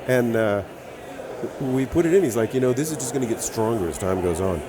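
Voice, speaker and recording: A man's voice: medium pitch, animated, a little fast, crowd noise. A man with a Hawaiian accent, a brewer and author telling stories as a guest in a casual interview.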